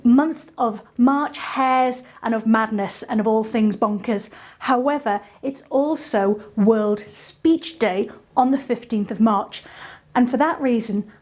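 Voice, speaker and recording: A woman hosting a casual online singing lesson, chatty and playful with quick asides. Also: on the high side, darkish tone. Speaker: singing teacher and host.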